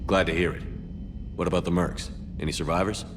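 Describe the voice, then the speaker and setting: A man playing a gruff, steady, heroic military commander in a video game, speaking tersely with firm authority. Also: low-pitched, fairly quick, noisy background. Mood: stern. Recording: loud music behind the voice.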